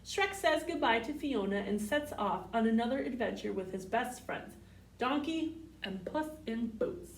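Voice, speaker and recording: Female children's storyteller: sing-song, lively pitch, unhurried, recorded in a roomy space. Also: a little hiss or hum. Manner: sing-song, addressing children with simple questions. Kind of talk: storybook read-aloud for young children. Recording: roomy, distant microphone.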